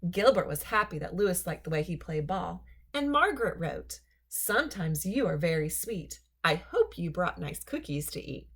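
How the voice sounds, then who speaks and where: A woman reading a children's story aloud in an expressive, deliberate storytelling manner. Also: fairly low pitch, breathy edge. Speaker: storybook reader.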